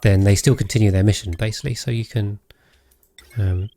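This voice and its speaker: A British man streams a game in a fairly low, calm voice. He talks casually to his viewers and thinks aloud as he goes, measured and unhurried. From Britain. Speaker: live streamer, host. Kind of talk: casual game commentary while chatting with viewers.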